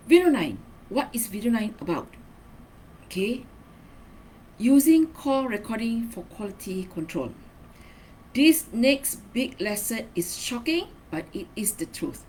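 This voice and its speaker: A woman's voice: mid-range voice, slight background noise, poor audio. A woman giving a semi-scripted training lesson as a marketing trainer, instructional, stressing key words and checking in with short tag questions.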